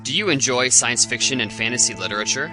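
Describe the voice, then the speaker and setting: A man's voice: medium pitch, fast, over music, rough recording. A man delivering a scripted promo for a podcast.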